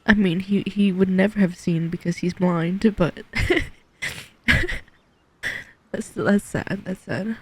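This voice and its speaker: A woman's voice: mid-range voice, steady tone, slow pace, long pauses, slightly rough. A woman streaming a game, giving informal, emotive reaction commentary into a close mic. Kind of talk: casual gameplay reaction commentary.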